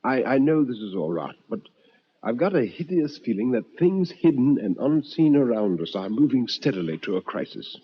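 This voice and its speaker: A man playing an anxious, easily rattled housemate in a ghost-story drama, talking in a halting, protesting way. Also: mid-range voice, sing-song, breathy edge, highs cut off. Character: Rudge, a nervy pale housemate, easily rattled.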